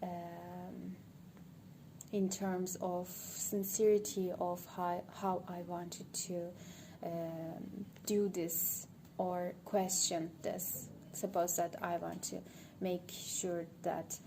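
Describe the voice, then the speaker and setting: A woman with a Turkish accent works through an idea out loud, hesitant and pausing often. She speaks slowly in a flat, even tone. Steady ambient noise sits behind her voice. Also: lowish voice, vocal fry. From Turkey. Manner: hesitant, thinking aloud with frequent pauses. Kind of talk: unscripted spoken monologue for social media.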